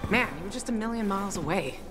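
A woman's voice: medium pitch, lively intonation, fast, natural voice. An actress playing a tough, cynical young female sniper in a video game, blunt and sardonic. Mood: deadpan menace. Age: young adult. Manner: blunt, sardonic, foul-mouthed. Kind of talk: video game cutscene acting. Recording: game soundtrack music behind.

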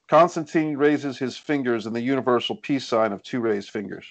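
A man's voice: mid-range voice, moderate pace, vocal fry, poor audio. A man reading scripted stage directions as narrator of a screenplay table read. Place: indoors on a group video call.

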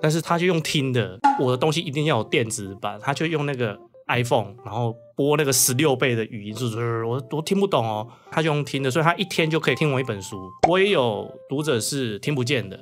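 A man's voice: medium pitch, expressive, quick pace, few pauses. A man from southern Taiwan with a Taiwanese Mandarin accent, chatting casually as an interview guest and telling personal stories. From southern Taiwan (Kaohsiung). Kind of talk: casual interview chat, storytelling. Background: background music.

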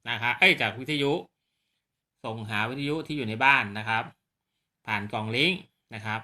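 ผู้ชายเสียงใสจัดจ้าน สาธิตอุปกรณ์. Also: male voice, mid-range voice, normal speed, sharp tone. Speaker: presenter of radio gear demo on his own video channel. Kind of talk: casual hands-on product demonstration.

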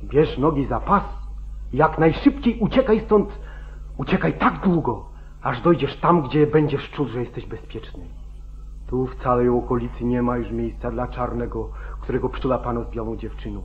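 A man's voice: mid-range voice, normal speed, close to an everyday voice. A man playing a wary, weary older friend in an old radio drama, giving blunt warnings with stern urgency. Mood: urgent. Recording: dull, band-limited 1940s radio recording.

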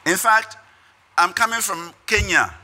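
Older male preacher, Ghanaian accent, very sharp high voice, phrase-by-phrase conference address at a podium. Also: a little slow. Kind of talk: prepared speech read at a lectern. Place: conference hall stage. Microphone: podium microphones.

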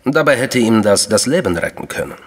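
An actor playing an enigmatic Russian official in a crime radio drama, courteous, deliberate and coolly menacing. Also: male voice, medium pitch, lively intonation, slightly rough, in a large hall. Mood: courteous, guarded.

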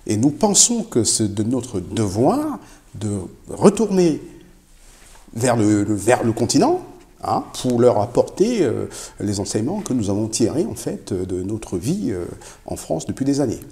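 Male CEO guest, relaxed dark tone, very varied intonation, emphatic repetition. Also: medium pitch, a little slow. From Africa. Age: middle-aged. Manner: emphatic, repeats key phrases. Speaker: company CEO, interview guest. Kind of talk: explanatory interview answers. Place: indoor showroom with product shelves.

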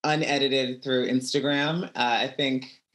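A woman, a reality show contestant, giving reflective interview answers over a video call, with soft laughs between thoughts. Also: rumbling low, steady tone, a little slow. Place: home room.

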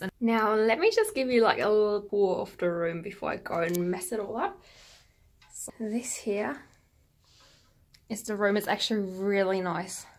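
A young woman chatting casually to her viewers as a travel vlogger, with a husky voice. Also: mid-range voice, fairly bright tone. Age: young adult. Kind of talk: casual vlog chat to viewers. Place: small hotel room. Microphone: handheld camera at arm's length.

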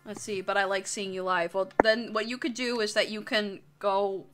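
A woman's voice: medium pitch, fairly even intonation, moderate pace, music in the background. A young woman chatting casually on a livestream in a jokey, sweary way. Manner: crude, sweary, jokey banter. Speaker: live streamer. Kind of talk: casual livestream chat while drawing.

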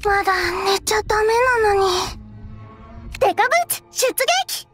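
A woman's voice: very high-pitched, little pitch movement, normal speed. A woman voicing a cheerful, playful little girl from an anime video game, with a cutesy, childlike way of talking. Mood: whimpering, then excited. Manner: bubbly, refers to herself by name in childish speech. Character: cheerful, sweet, playful little girl adventurer with sheep companions. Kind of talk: cute Japanese anime game voice acting. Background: background game music.

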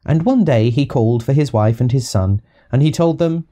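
A man's voice: mid-range voice, normal speed, dark tone. A man narrating a children's audiobook, reading rhyming verse rhythmically and expressively. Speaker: storyteller reading to young children.

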